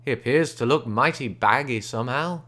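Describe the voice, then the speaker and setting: A man's voice: medium pitch, moderate pace, a bit bright, natural voice. A man voicing a boastful, easygoing frontier gambler who talks with a folksy drawl and backwoods grammar. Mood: easy, bragging.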